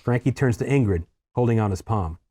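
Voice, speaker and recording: A man's voice: lowish voice, expressive, brisk, mellow. A man reading stage directions aloud as narrator at a screenplay table read.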